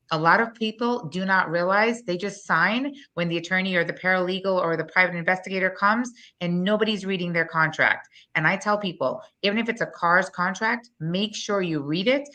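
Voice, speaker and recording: A woman's voice: lowish voice, bright, forceful tone. A woman in her forties from Guyana with a Guyanese accent, a business owner being interviewed, talking bluntly and emphatically. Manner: blunt, fast-flowing, emphatic. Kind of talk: interview, promotional pitch.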